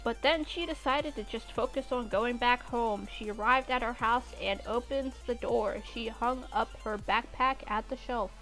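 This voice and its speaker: A woman's voice: on the high side, normal speed, few pauses, a bit bright, poor audio. A woman narrating fan fiction and breaking off for irreverent, informal asides. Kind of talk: story narration with casual asides. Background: music.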